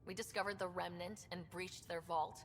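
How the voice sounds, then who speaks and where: An actress playing a composed, firm young explorer leader in a video game cutscene. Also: female voice, fairly even intonation, normal speed, lightly acted. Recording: slight reverb, faint rumbling noise.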